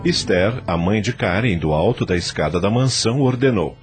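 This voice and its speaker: A man narrating a radio drama with a measured, dramatic delivery. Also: on the low side, animated, music in the background.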